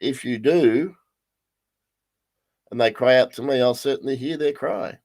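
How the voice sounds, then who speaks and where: A male pastor with a croaky voice leading a livestreamed Bible study, halting with many ums and restarts. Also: mid-range voice, darkish tone.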